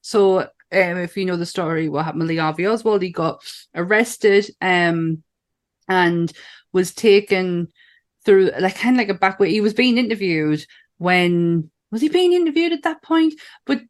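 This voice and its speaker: A woman's voice: lowish voice, moderate pace. A woman from northern England with a northern accent, co-hosting a podcast in enthusiastic, casual chat, swearing and laughing often. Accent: northern English. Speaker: podcast co-host.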